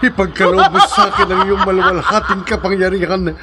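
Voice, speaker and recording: An actor playing a devout priest in a horror radio drama, pleading and commanding in solemn, fervent prayer. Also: male voice, sits high, brisk, sharp tone, a little hiss or hum.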